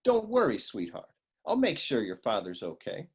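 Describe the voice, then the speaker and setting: Male actor as wisecracking noir gumshoe: husky, very animated pitch, slangy deadpan tough-guy lines for radio comedy. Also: moderate pace. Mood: smooth, reassuring. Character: wisecracking hardboiled male private eye. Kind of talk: humorous noir radio serial acting.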